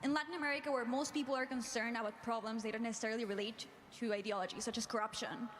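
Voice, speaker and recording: A woman's voice: on the high side, fairly even intonation, very fast, a bit bright. A young woman from Mexico, a student, politely asking a question in a university hall. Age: young adult. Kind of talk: audience question. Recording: roomy hall with background noise.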